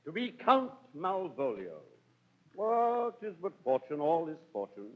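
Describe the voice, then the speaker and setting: An actor playing a pompous, self-admiring, ambitious chief butler in a 1930s radio Shakespeare soliloquy. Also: male voice, a little high, wildly swooping pitch, measured pace. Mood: smug, musing. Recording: dull old radio recording.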